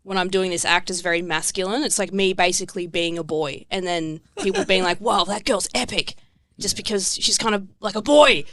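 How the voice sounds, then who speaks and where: Bright, crisp woman, swearing freely. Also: medium pitch, a little fast, no room sound. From Tasmania, Australia. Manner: swears freely, laughs often, self-mocking. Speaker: musician guest. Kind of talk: casual podcast chat.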